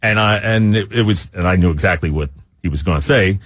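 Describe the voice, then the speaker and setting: A man co-hosting a radio talk show, chatting casually in a halting, informal, rambling way. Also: low-pitched, a bit bright, no room sound, clean studio sound. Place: radio studio. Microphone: close studio microphone.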